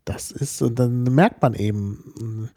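A man from Hamburg being interviewed about languages, explaining slowly and thoughtfully, with many fillers and restarts. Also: mid-range voice, mellow, dry recording, clean studio sound. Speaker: linguist guest. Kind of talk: relaxed spoken explanation in an interview.

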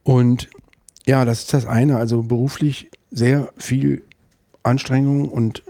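A middle-aged man with a Berlin accent, guesting on a podcast and talking in a thoughtful, hesitant way with many pauses and restarts. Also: medium pitch, flat delivery, dark tone, no echo. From West Berlin, Germany. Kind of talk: casual interview conversation.

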